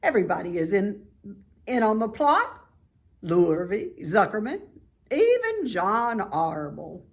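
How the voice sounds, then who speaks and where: A woman's voice: mid-range voice, very animated, slow. Woman reading as a blunt old ewe. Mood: grim. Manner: blunt, matter-of-fact. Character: old female sheep, blunt and gloomy.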